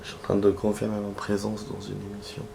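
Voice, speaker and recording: A man's voice: fast talker, background noise. A man with a cold, casually reading in-game text aloud and chatting while he plays. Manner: low, flat, gravelly delivery with muttered asides. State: has a cold, clearing his throat. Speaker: gaming streamer.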